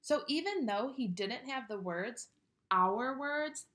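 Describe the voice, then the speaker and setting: Woman, children's story reader, higher-pitched voice, very unhurried pace, expressive dramatic delivery. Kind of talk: read-aloud of a picture book.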